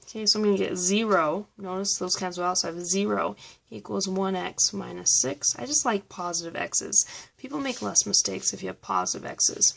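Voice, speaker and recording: A woman math teacher talking through review problems step by step, slightly hoarse from losing her voice. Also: mid-range voice, normal speed, fairly bright tone. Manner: patient, step-by-step explaining. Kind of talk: recorded math review lesson.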